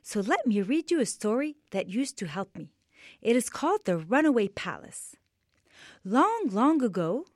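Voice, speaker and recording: A woman reads a story aloud to children in a gentle, unhurried way. Her voice sits high, with a lively, rising and falling melody. It has a soft, breathy edge. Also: dry recording, clean studio sound. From Lebanon. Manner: gentle, slow and measured, speaking directly to children.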